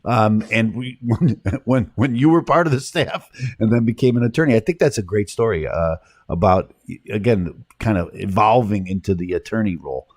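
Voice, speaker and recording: A man's voice: on the low side, lively intonation, moderate pace, no echo. Chicago-accented man in his middle years, radio host, casual and rambling, dry close studio sound. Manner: chatty, stumbles and repeats words. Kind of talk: casual radio talk show chat.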